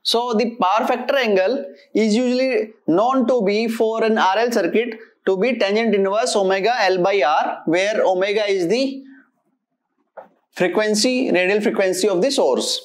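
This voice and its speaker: A woman giving a step-by-step technical lecture as an engineering teacher, methodical and halting as she works through equations. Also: mid-range voice.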